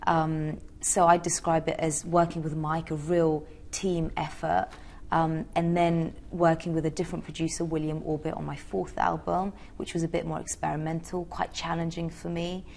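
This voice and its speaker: A woman's voice: low voice, little pitch movement, normal speed, vocal fry, darkish tone, slight background noise. A young woman from Georgia answering interview questions in a chatty, hesitant way with many fillers. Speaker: interviewee, singer.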